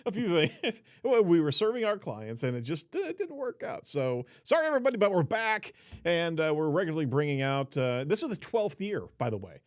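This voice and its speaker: A man's voice: on the high side, wildly swooping pitch, fairly quick. A man hosting a news podcast, chatting in an upbeat way and laughing often. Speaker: podcast host. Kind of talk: casual news podcast chat.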